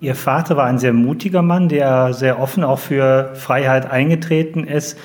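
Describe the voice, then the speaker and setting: A man's voice: medium pitch, fairly even intonation, moderate pace. Male interviewer from West Germany, mature, mid-range voice, serious questioning style.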